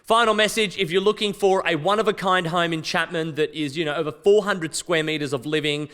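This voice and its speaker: Loud, enthusiastic estate agent. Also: male voice, fairly high pitch, brisk, smooth. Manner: loud, enthusiastic, self-joking. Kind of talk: property walkthrough chat. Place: outdoors at a house.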